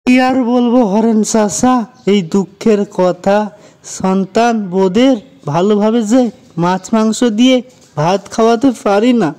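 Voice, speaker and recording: A man's voice: high voice, slow, warm tone, pitched above the performer's own voice. A man voicing a poor, emotional cowherd father in a cartoon, with a plaintive, melodramatic delivery. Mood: sorrowful. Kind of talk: Bengali moral-story cartoon dialogue.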